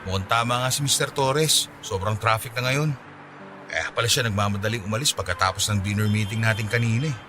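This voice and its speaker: A man playing a kind, persistent, bashfully smitten young office junior in a Tagalog radio drama, speaking gently and coaxingly. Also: lowish voice, a little fast, music in the background, natural voice.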